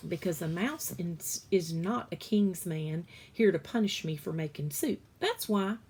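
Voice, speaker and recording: A woman's voice: mid-range voice, voice pushed up, heavily acted. A woman voicing a fat, jolly female cook from a storybook, with hearty, folksy exclamations.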